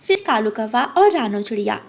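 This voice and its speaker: A woman's voice: on the high side, very animated, normal speed, vocal fry. A woman reading scripted narration for a children's cartoon story.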